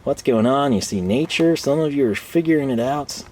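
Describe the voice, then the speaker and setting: A man's voice: mid-range voice, expressive, normal speed, darkish tone, slight background noise. A man hosting a casual, unscripted product review, rambling and conversational with some self-deprecation, his voice a bit tired. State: tired voice starting to give out. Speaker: host of tool review channel.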